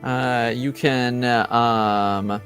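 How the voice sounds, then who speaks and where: Slow, hesitant man running a game. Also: mid-range voice, steady tone, fairly bright tone, soft background music. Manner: hesitant, lots of ums and likes. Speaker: game master. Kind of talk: narrating a tabletop game.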